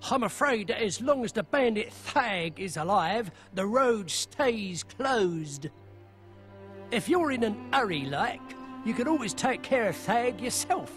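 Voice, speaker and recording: Town guard for a fantasy game: officious yet casual, apologetic, very animated intonation. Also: male voice, high voice, measured pace. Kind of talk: video game character dialogue. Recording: faint music underneath.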